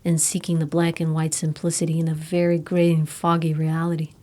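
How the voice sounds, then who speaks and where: A woman narrating a scripted guided meditation in a soothing, hushed, soft and breathy manner. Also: deep voice, completely flat delivery. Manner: soothing, slow, hushed delivery. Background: meditation music.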